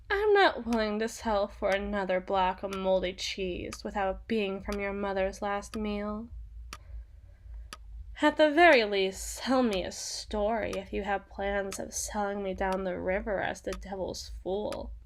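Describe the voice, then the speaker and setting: A woman's voice: medium pitch, a little hiss or hum, close to an everyday voice. A woman playing a seductive, sadistic female demon in a teasing, condescending, theatrical ASMR roleplay monologue. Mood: haggling, taunting.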